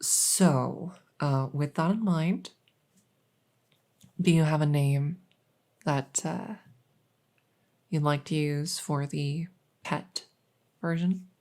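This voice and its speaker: A woman playing a polite, hesitant, matter-of-fact night vet in an ASMR audio roleplay, with a creaky voice. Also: low voice, extremely slow, pauses often, darkish tone, exaggerated voice. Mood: professional, reassuring. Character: soft-spoken, polite night vet receiving a supernatural patient.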